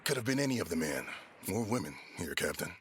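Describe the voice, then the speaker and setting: A man playing a loyal, soft-hearted pirate quartermaster in an audio drama, persuasive and respectfully teasing. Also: low-pitched, sing-song, fast talker, a little hiss or hum. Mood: earnest, persuasive. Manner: persuasive, deferential yet teasing.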